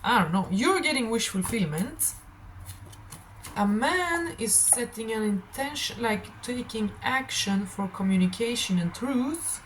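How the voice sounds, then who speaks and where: Slightly raspy woman rambling over background noise. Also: fairly high pitch, measured pace, poor audio. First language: not English. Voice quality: a little raspy. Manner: scattered, animated storytelling. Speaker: tarot reader hosting a stream. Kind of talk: casual rambling monologue.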